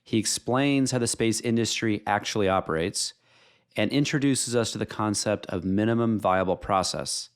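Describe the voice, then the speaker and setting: Male podcast host with a lowish voice and a measured, polished read. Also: smooth. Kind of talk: scripted intro then interview questions.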